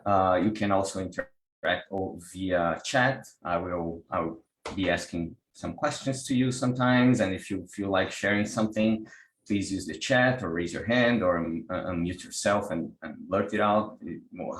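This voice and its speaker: Brazilian-accented male presenter, very deep, halting delivery, roomy video-call sound. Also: a little hiss or hum. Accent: Brazilian Portuguese. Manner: hesitant, many restarts and repeated words. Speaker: workshop presenter, pranic healer. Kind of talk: online workshop talk.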